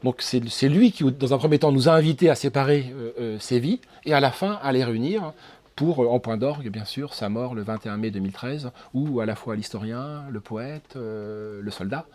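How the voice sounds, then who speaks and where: A man's voice: medium pitch, moderate pace, darkish tone. A man speaking as an interview guest, fluent, often tagging phrases and correcting himself. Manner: fluent, tags phrases with "hein", self-correcting. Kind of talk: casual interview talk.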